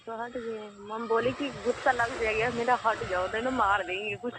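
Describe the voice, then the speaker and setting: A woman's voice: a little high, steady tone, moderate pace. A woman talking casually on a private phone call, in colloquial, often short answers. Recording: noisy phone call recording.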